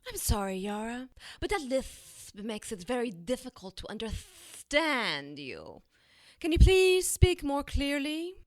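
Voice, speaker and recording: Cruel immortal witch, audio drama: mocking, theatrical, unhurried delivery with big melodic swings. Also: a little high, big pitch swings, dry recording. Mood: taunting. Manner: taunting, theatrical, drawn-out. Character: cruel, mocking, centuries-old witch who curses enemies.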